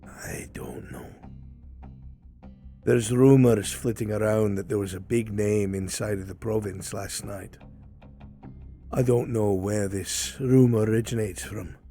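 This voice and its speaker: A furtive informant acted out by a man in a fantasy roleplay, with a low, dark and mellow voice. He talks like a conspirator in rustic, old-fashioned words, loyal to his lord, with music faintly behind him. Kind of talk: improvised fantasy roleplay dialogue. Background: background music.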